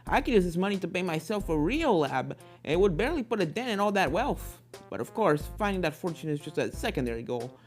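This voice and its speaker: A woman's voice: lowish voice, sing-song, fast talker, hardly any pauses, a little mellow, faint music behind. A woman voicing an encouraging adult male ghost-hunter pony, a hearty cartoon mentor who talks instructively. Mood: explaining.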